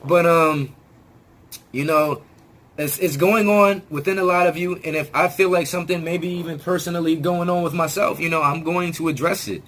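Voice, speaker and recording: A man talking straight to his viewers in a rambling self-help vlog, often restarting words and swearing casually, his voice still hoarse as it comes back. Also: fairly high pitch, fairly even intonation, moderate pace, a bit bright, a little hiss or hum. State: hoarse, regaining lost voice. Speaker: video channel host.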